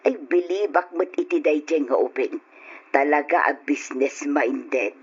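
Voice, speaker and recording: Husky gossipy middle-aged wife. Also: female voice, sits low, measured pace, heavily acted. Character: middle-aged wife chatting with her husband. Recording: lo-fi old radio recording.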